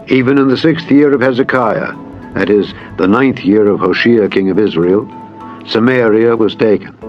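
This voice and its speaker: A man narrating scripture in a solemn dramatized reading, with measured, formal, deliberate phrasing. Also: lowish voice. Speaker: Bible narrator. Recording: dull lo-fi audio with music. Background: background music.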